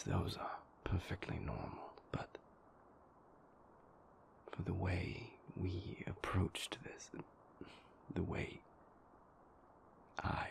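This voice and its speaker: A man performs a remorseful vampire boyfriend in an intimate audio roleplay. His deep voice sinks into a gravelly, breathy half-whisper. He is earnest and guarded, placing each phrase slowly and carefully, with long pauses. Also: heavily acted. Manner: halting, deliberate, measured phrasing with long pauses.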